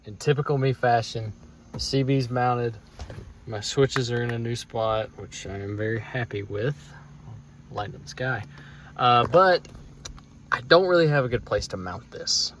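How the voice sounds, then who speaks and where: A man's voice: medium pitch, unhurried, a bit bright. Casual American man, folksy, faint background noise. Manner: folksy, informal, thinking aloud. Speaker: DIY truck vlog host. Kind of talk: casual hands-on tutorial chat.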